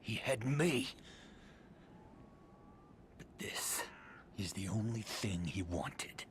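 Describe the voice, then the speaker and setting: Game role, embittered tycoon's son; low register, brooding and ominous storyteller, trailing into hush, slight ambience behind. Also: male voice, animated, drawn-out words. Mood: bitter, brooding. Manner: ominous, brooding storytelling with hushed phrase endings. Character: brooding, bitter heir of a sinister amusement-park tycoon. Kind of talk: video game cutscene monologue. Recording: faint background ambient noise. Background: faint ambience.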